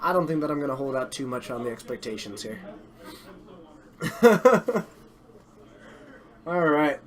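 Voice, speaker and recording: A man hosting and commentating a live card game stream, chatty and self-deprecating, while slowly losing his voice. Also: medium pitch, sing-song, fairly bright tone.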